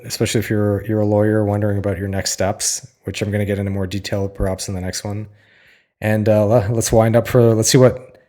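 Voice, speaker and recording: Hoarse, weary man with a low voice. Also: warm tone. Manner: halting, searching, with many restarts and fillers; weary, confessional. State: slightly hoarse. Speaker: podcast host. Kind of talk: candid solo podcast monologue.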